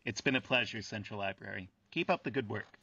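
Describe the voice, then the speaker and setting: Wry, earnest radio host: gravelly, storytelling delivery, slightly dull roomy sound. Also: male voice, lowish voice, brisk, bright, forceful tone, exaggerated voice. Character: earnest, wry male host who interviews buildings. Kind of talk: radio play acting as interview host. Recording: echoey, muffled sound.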